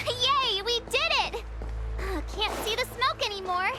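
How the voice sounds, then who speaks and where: A woman voicing an earnest, cheerful young girl adventurer in a video game, youthful and expressive. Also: very high voice, a little slow. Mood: excited. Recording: music behind the voice.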